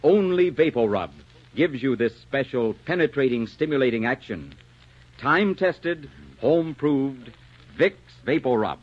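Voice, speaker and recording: Male 1940s radio announcer: lively swooping delivery, emphatic salesman polish, slightly husky, dull period sound. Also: medium pitch, measured pace. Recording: muffled vintage radio broadcast.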